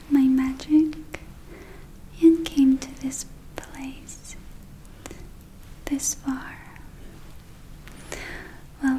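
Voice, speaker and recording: A woman whispering in a breathy, gentle, unhurried way, performing an ASMR roleplay as a soothing witch healer. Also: high-pitched, slight background noise, rough recording. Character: soothing witch healer welcoming a spa client.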